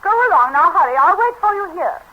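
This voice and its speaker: A high-voiced, sharp-tongued English wife. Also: female voice, sing-song, a little fast. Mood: brisk. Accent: British. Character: poised, sharp-tongued English newlywed wife, easily exasperated. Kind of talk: 1930s radio comedy acting. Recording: muffled, hissy 1930s radio broadcast.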